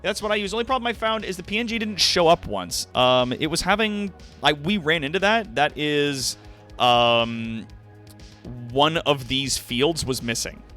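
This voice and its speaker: A man's voice: fairly high pitch, lively intonation, unhurried, bright, forceful tone, faint music behind. A man hosting a livestream in a casual, rambling, chatty way, informal and given to swearing. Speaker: livestream host.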